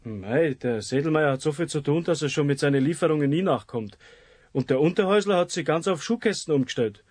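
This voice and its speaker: Highish-voiced grumbling Bavarian husband. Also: male voice, expressive, brisk, darkish tone, no room sound. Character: stubborn, grumbling tradesman husband. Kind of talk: children's radio play acting.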